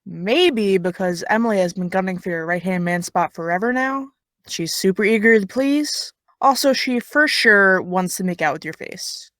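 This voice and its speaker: A young actress playing a blunt, sarcastic high school girl with no filter. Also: animated, moderate pace, a bit bright, no echo, studio quality, lightly acted. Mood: prodding. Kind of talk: audio drama.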